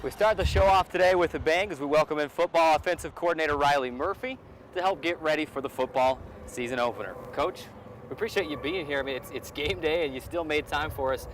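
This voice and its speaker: A man's voice: on the high side, fast talker, background noise. An American man hosting a sports show and interviewing a guest, speaking outdoors beside a football field.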